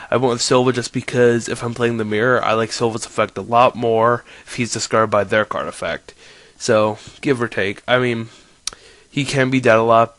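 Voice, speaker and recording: A man's voice: mid-range voice, fairly bright tone, no room sound. Stuffed-up young man explaining cards. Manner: rambling, self-correcting. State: stuffy nose from a cold. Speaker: card game player. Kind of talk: casual deck explanation.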